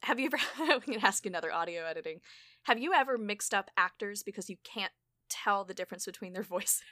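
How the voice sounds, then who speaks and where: A woman hosting a casual conversational interview, animated and self-deprecating, often laughing as she talks. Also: mid-range voice, quick pace, no room sound, clean studio sound. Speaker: podcast host and audio drama creator.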